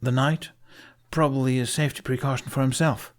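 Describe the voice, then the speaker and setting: A man's voice: medium pitch, steady tone, a little fast, voice pushed up, lightly acted. A man voicing an anxious, brooding young Viking for an audiobook, earnest and pointed in how he explains things. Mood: explaining, cautioning.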